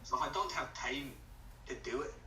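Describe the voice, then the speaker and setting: A young man in casual, halting chat as a guest on a friend's voice-call live stream. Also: mid-range voice, animated, pushed and bright, slight background noise. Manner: rambling, halting, restarts phrases. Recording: echoey room, far from the mic.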